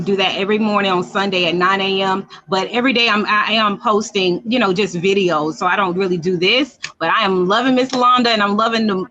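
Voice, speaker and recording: A woman in her thirties chatting on a live stream, gentle and grateful, with a faith-focused manner. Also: mid-range voice, lo-fi sound. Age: 30s. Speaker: guest. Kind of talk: casual live-stream chat.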